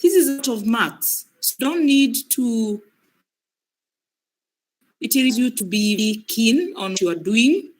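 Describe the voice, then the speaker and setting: A woman's voice: a little high, lively intonation, slow, long pauses, poor audio. A woman with a cold and a breaking voice, patiently guiding students through an online exam, often repeating her points. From Kenya. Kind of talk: live training demonstration.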